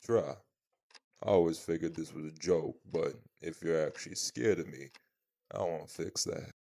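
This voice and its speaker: A man playing a blunt but protective male vampire in an intimate audio roleplay, speaking gruffly and informally. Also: deep voice, normal speed, dark tone. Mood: gentle, concerned.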